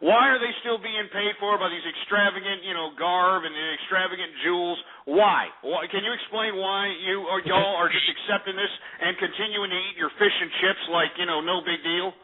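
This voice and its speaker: High-pitched combative American man, tinny sound. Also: normal speed, hardly any pauses. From United States. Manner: combative, blustering, mocking, interrupting. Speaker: radio talk show host. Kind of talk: argumentative call-in rant. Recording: tinny phone-like internet radio sound.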